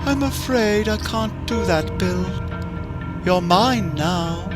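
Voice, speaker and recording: A man voicing a sadistic, playful female clown who eats her victims, speaking in a soft, teasing, sing-song way over background music. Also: sits high, takes it slowly, pitched above the performer's own voice. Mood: sadistic glee. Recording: music bed under voice.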